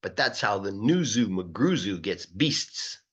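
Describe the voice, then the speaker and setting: A man giving an expressive, playful read-aloud of rhyming children's verse, as an English teacher would. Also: lowish voice, a little slow, breathy edge. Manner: playful, emphatic delivery of verse.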